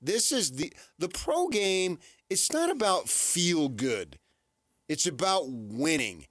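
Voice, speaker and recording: Sports radio guy, high voice that goes all over the place, really emphatic, keeps hammering his point, dry studio sound. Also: big pitch swings, slow, fairly bright tone, no room sound. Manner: emphatic, insistent, repeats points for effect. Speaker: sports radio talk show host. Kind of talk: unscripted sports debate.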